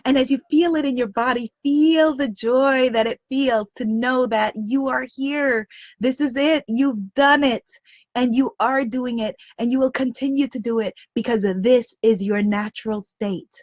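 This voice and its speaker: A woman's voice: a little high, fairly even intonation, a little slow, no echo, lo-fi sound. A woman leading a guided visualization, speaking soothing, repetitive affirmations. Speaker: meditation guide.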